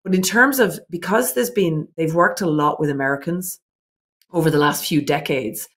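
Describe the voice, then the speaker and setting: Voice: medium pitch, moderate pace, fairly bright tone. A speaker in a mid-range voice talks through a series of points in an interview. The tone is earnest and reflective, with frequent restarts as thoughts form. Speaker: business owner guest. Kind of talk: interview answers.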